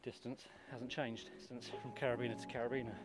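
A man's voice: medium pitch, little pitch movement, brisk, music in the background. A man in his thirties, a paraglider pilot, talking casually and earnestly to an action camera outdoors, asking the viewer questions. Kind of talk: casual vlog explaining gear. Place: outdoors on a grassy hillside, then in flight.

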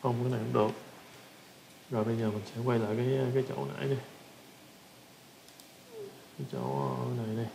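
Man, Southern Vietnamese accent, casual tutorial instructor, repetitive short phrases, creaky voice, roomy echo. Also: medium pitch, fairly even intonation, brisk, pauses often, warm tone. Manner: repeats short phrases while demonstrating. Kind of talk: casual screen-recorded software tutorial. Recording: roomy echo with background noise.

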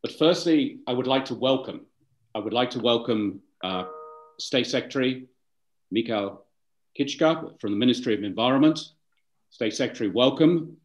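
A man's voice: mid-range voice, lively intonation, moderate pace, dull audio. A man moderating a webinar on a video call, giving formal welcomes and introductions, speaking with some fillers and restarts.

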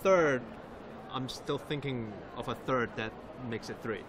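Male reporter live amid clear hall noise. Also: medium pitch, a little slow. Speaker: live stream reporter and host. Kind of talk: casual live presenting and chat. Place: large indoor exhibition hall. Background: busy trade fair ambience.

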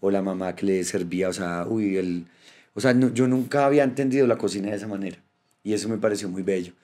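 A man, an actor and rapper chatting as a podcast guest, speaking in a lively, joking way on a studio microphone. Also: fairly low pitch, fast. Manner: playful, animated, joking. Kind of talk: casual podcast chat. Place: indoor studio with yellow backdrop. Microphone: studio mic on boom arm.

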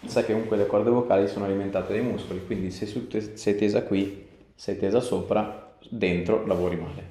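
A man's voice: on the low side, fairly even intonation, fairly quick, mellow, reverberant. A man in his thirties, a chiropractor chatting informally and reassuringly with a patient in a small treatment room. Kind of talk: casual chat with a patient during treatment.

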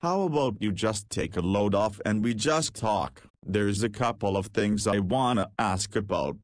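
A man's voice: fairly low pitch, lively intonation, a little slow, no echo, clean studio sound. An actor playing a cheeky, laid-back young man of nineteen in a visual novel, talking in a casual, wry way. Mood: curious, casual. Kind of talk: visual novel voice acting with inner monologue.

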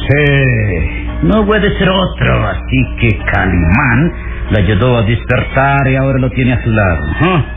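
Suspicious villain boss, theatrical, impatient, wildly varied pitch, tinny old radio serial. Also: male voice, animated, measured pace, creaky, music in the background. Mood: impatient, commanding. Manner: theatrical, demanding, interrogating.

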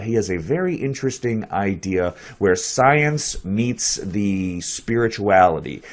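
Chatty man with a cold. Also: on the low side. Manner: loose, chatty, joking. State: has a cold. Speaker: host. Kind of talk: casual live-stream hosting. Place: small office with a map on the wall. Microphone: headphones with a desk mic.

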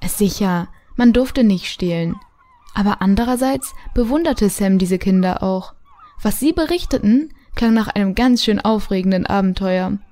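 A woman's voice: mid-range voice, warm tone, natural voice. A woman narrating a radio play for children as its storyteller.

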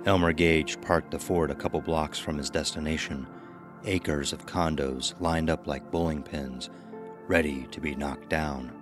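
A man tells a scripted horror tale in an extremely deep voice. Music plays clearly beneath him. His delivery is measured and dramatic, phrase by deliberate phrase. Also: fairly even intonation. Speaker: narrator of a horror anthology. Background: music under the voice.

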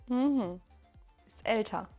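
A young woman hosting a dating show, asking quick, upbeat questions. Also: a little high, muffled recording, soft background music. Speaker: show host. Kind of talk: casual chat.

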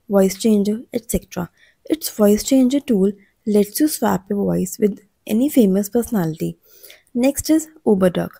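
A woman reads a scripted explainer as a channel narrator, in a measured way that sets out lists item by item. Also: medium pitch, vocal fry, dark tone, breathy edge, no echo.

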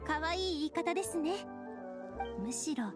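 A woman's voice: extremely high pitch, unhurried, music in the background. A woman voicing a serene young priestess strategist in an anime game, speaking in a formal, composed, polite way. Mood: gracious. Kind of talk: Japanese anime game voice acting.

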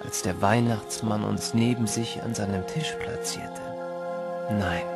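Slow, gravelly male storyteller. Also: lowish voice. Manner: measured, literary, reflective. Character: wistful male storyteller recalling his boyhood. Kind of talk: scripted audio drama narration.

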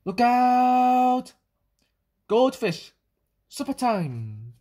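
A woman's voice: on the high side, big pitch swings, very slow, dark tone, voice pushed up, heavily acted. A woman voicing a greedy, hungry male pet cat from a storybook, exclaiming and relishing each word. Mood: excited.

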